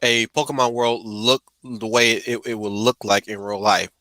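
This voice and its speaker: A man's voice: on the low side, steady tone, moderate pace, bright, forceful tone, no room sound. A man chatting casually as a co-host on an online stream call. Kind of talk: casual chat.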